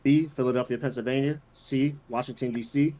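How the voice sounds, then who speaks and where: A man hosting a casual quiz among friends, reading each question and its answer options in a steady, unhurried voice. His pitch sits in the middle of the range, and faint background noise runs under his voice. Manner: reads answer options slowly, teases players. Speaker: quiz host.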